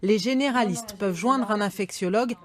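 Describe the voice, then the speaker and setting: A woman's voice: mid-range voice, brisk, soft background music. A woman reporter reading scripted narration for a television news report.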